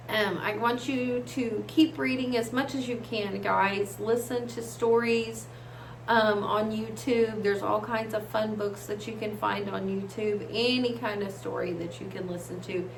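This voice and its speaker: A woman reading aloud to children like a teacher, expressive and encouraging. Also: mid-range voice, a little slow, gravelly. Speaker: story reader, teacher. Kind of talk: read-aloud storytelling for children. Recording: echoey room, faint background hiss.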